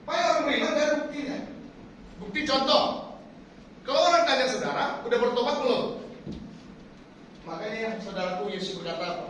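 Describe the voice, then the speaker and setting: A man preaching a sermon, animated and constantly addressing the congregation directly. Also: extremely high pitch, brisk, long pauses. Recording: echoey hall, speaker far from the mic, faint noise.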